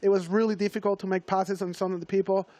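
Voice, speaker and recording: A young man from Venezuela, a guest rider in a TV studio interview, talking at length in a relaxed, reflective way. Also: sits high, fast talker, no room sound.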